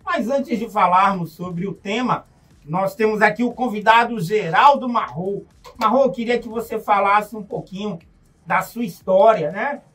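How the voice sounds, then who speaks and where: A man's voice: high voice, moderate pace, fairly bright tone, breathy edge, recorded from a distance. A man hosting a radio interview, asking upbeat leading questions in a studio. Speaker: radio show host.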